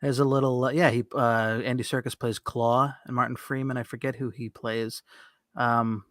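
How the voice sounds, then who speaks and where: A man's voice: medium pitch, flat delivery, normal speed, a bit bright, no echo, studio quality. A man co-hosting a podcast in casual chat, talking in an informal, conversational way. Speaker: podcast co-host.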